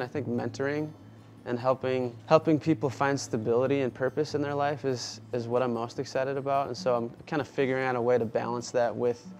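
A man's voice: medium pitch, gravelly, darkish tone. A man in his thirties talking earnestly and upbeat about his furniture business in a casual interview, recorded in a workshop on a lapel mic. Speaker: small business owner.

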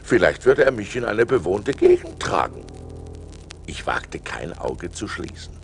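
An animated, suspenseful seafaring adventurer. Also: male voice, on the low side, measured pace, gravelly, a little hiss or hum, heavily acted. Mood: hushed, thoughtful. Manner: theatrical, suspenseful, expressive delivery. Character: Sindbad, male seafaring adventurer recounting perils, fearful yet resourceful. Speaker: narrator and lead actor. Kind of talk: dramatic storytelling in a German audio play. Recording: vinyl record transfer.

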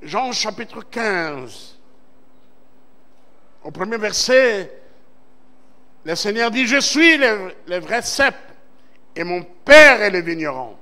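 A man's voice: high-pitched, pushed and bright. A male pastor from Central Congo preaching a Sunday sermon, reading scripture and stressing words with rising amens. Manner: preaching with emphatic swells and call-and-response amens. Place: church service.

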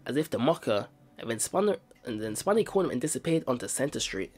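A man's voice: mid-range voice, very animated, fast, a little mellow. A man reading a game's story text aloud for a playthrough, with small stumbles and restarts. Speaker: let's play narrator. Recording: game music playing behind.

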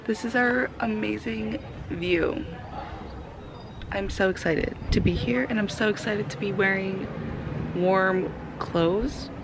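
A woman in her twenties from the United States, chatting brightly in a casual travel vlog with a slightly raspy voice, filming at arm's length outdoors. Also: medium pitch. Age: 20s. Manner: bubbly, chatty. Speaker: vlogger. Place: outdoors on a city balcony and streets. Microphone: handheld camera at arm's length. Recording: noisy street ambience.

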